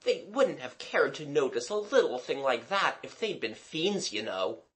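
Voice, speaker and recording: A narrator as a smooth, light-hearted schoolboy. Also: mid-range voice, sing-song, moderate pace, close to an everyday voice. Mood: breezy, reassuring. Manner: honeyed, explaining. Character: gentlemanly, light-hearted boy, smooth and reassuring.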